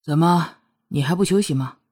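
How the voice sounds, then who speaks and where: Casting: arrogant, seductive male prince for romance audiobook, performed by a woman; mellow, breathy, teasing, possessive, commanding drawl. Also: sits low, moderate pace, voice pushed down.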